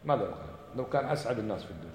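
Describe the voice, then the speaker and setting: A man giving an impassioned religious lecture, firing off rhetorical questions and dropping to a low voice for emphasis. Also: animated, rapid-fire, a little mellow, a little hiss or hum. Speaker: religious teacher. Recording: echoey hall recording.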